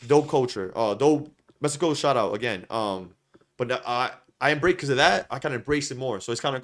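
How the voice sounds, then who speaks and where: A man's voice: mid-range voice, fairly bright tone. A young man chatting casually as a podcast host, rambling with lots of filler and repeating himself. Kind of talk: casual chat.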